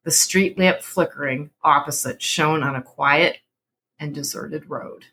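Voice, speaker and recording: A woman's voice: sits low, measured pace, pushed and bright, a little hiss or hum. A woman hosting a podcast, moving between dramatic story narration and casual chat. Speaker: podcast host and story reader.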